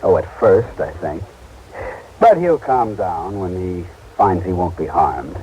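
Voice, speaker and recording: A kindly, musing alien husband in a radio play. His low, mellow voice moves freely up and down as he gropes for words. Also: animated, takes it slowly, dull audio, slight background noise. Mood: reassuring. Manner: hesitant, musing. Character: calm, hesitant, kindly otherworldly scientist-husband. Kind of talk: radio drama acting.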